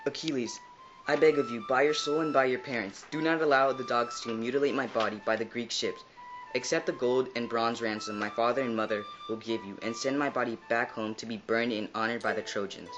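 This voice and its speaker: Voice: medium pitch, fairly even intonation, a little fast, lo-fi sound, over music, natural voice. A performer playing a proud, doomed Trojan warrior prince in a mythological puppet show. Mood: pleading.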